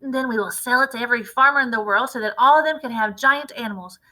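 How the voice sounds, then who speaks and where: A woman voicing a wildly excited, impatient, domineering farmer father in a storybook read-aloud, exclamatory and emphatic. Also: fairly high pitch, quick pace, rough recording. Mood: excited, urgent.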